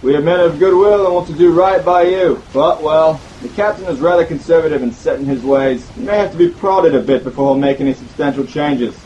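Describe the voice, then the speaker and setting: A man's voice: fairly high pitch, a little fast, hardly any pauses, dark tone, lo-fi sound, a little hiss or hum, pitched above the performer's own voice, close to an everyday voice. A man voicing an oily, placating ship's officer. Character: smooth, insincere male ship's officer.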